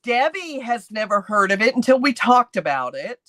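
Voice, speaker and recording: A woman's voice: on the high side, moderate pace. A woman in her late fifties hosting a craft live stream, teasing her co-host and talking straight to viewers as she reads their comments. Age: late 50s. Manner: lively and teasing, addresses viewers directly.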